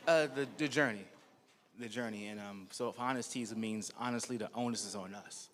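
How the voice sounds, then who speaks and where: Low-voiced man answering in a hall. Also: a little fast, a bit bright, a little hiss or hum. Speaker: guest. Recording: live hall with long reverb.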